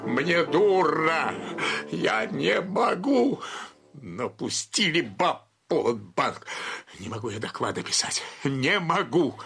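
A fed-up bank clerk in radio drama, hectoring, creaky voice that swings wildly. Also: male voice, a little high, sing-song, slow, a bit bright, heavily acted. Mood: furious, desperate. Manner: exasperated, hectoring, theatrical. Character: irritable, overworked male bank clerk at breaking point. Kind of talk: radio play acting. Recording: music behind the voice.

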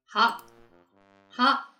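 A woman's voice: very slow, pushed and bright, soft background music. A woman hosting a casual group chat and guiding the conversation. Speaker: host.

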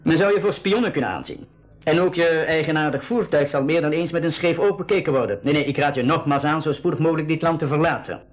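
An actor playing a courteous, firm army lieutenant in a school radio drama, speaking formally and insistently. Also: male voice, a little high, fast, close to an everyday voice. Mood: grave, insistent. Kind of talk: 1980s Dutch-language school radio drama acting.

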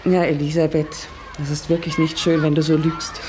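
An actress playing a village schoolteacher in a German radio drama, articulate and measured, stern yet gentle. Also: female voice, low-pitched, little pitch movement, a crowd in the background, background noise, lightly acted. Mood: probing, gentle. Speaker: actor in a radio play. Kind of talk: German radio drama, narration and classroom dialogue.

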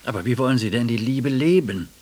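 An actor in a radio play voicing an educated, pious but doubting gentleman with a husky voice and an earnest, questioning manner. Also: mid-range voice, expressive, brisk, natural voice. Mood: puzzled.

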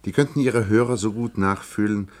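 A man's voice: on the low side, fairly quick, darkish tone, close to an everyday voice. An actor in a science-fiction radio play voicing a proud, vain alien researcher who poses as a human salesman and speaks with earnest, pompous emphasis. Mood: wry, confiding.

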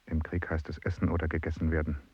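An actor playing a hard, pitiless narrator of war in a radio drama, with a husky voice. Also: male voice, rumbling low, flat delivery, fast talker, dry recording. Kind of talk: avant-garde radio drama. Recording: dull, muffled sound.

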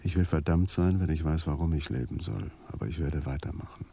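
An actor playing a world-weary, resigned man in a measured radio drama monologue. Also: extremely low pitch, animated, creaky, warm tone, husky, full character voice. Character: world-weary man voicing his suicidal letter.

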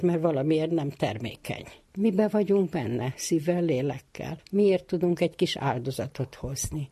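A man's voice: fairly high pitch, warm tone, breathy edge, no room sound. A man giving a reflective, inspirational talk as a guest.

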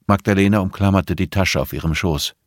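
A man's voice: low-pitched, brisk, no echo, studio quality. A man reading scripted prose as the narrator of an audiobook.

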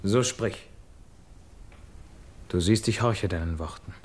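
Bold young warrior prince. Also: male voice, on the low side, takes it slowly, darkish tone. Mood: alert, urgent. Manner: heroic, declamatory, earnest. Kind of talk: classical stage verse radio drama.

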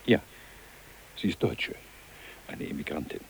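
A man's voice: a little slow, a little hiss or hum, natural voice, low-quality recording. An actor playing an older country man in a radio drama, hospitable but blunt in manner. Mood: welcoming. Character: kindly, blunt old country man in Sweden.

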